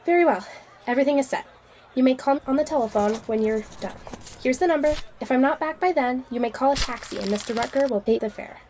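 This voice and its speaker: A woman playing a kindly, brisk and fussy tutor in an audio drama, affectionate but gently scolding. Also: high-pitched, slight background noise, low-quality recording.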